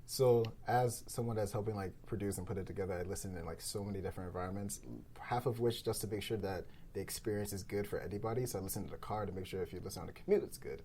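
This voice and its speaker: A man's voice: lowish voice, quick pace, few pauses, dark tone, slight background noise. A man who co-produces a podcast, chatting casually at a round table in informal, slangy language with frequent chuckles.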